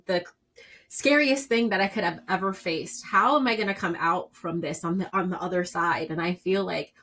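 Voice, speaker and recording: A woman in her thirties speaks candidly as an interview guest, pressing each point with emphasis. Her voice sits a little low in her range and jumps up loudly when she pushes hard. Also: normal speed, slight background noise. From Puerto Rican and Dominican background. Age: 30s. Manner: earnest, emphatic, rising to a loud pitch when stressing a point. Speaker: podcast guest.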